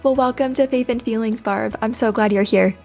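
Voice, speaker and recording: A woman's voice: fairly high pitch, fairly even intonation, brisk, creaky, a little mellow, soft background music. A woman hosting a podcast, opening with a scripted introduction and then interviewing her guest in an encouraging way. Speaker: podcast host.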